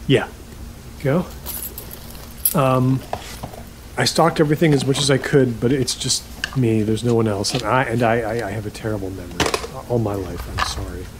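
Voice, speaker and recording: An actor in an audio drama playing an awkward, apologetic male guesthouse host, with a hesitant, stammering and halting delivery. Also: medium pitch, noisy background, close to an everyday voice. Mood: flustered, apologetic. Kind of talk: naturalistic audio drama acting.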